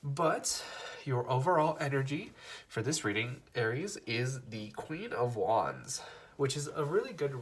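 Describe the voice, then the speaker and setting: A young man with a cold, giving a relaxed tarot reading straight to his viewers, with plenty of fillers. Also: medium pitch, slight background noise. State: fighting off a cold. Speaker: tarot reader. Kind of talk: casual tarot reading to camera.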